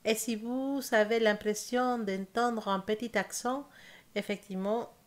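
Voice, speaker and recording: A young woman from South America whose first language is Spanish speaks French with a Spanish accent, reading tarot slowly and carefully, with pauses and self-corrections. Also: on the high side, steady tone. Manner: slow careful speech with hesitations and self-corrections. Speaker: tarot reader. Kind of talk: casual tarot reading to camera.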